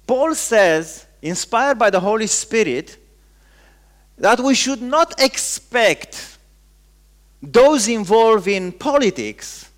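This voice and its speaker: A man preaching with a Romanian accent, his voice pitched well above the usual male range. He delivers the sermon as a pastor would, in short emphatic phrases, often pausing and restating a word to give it weight.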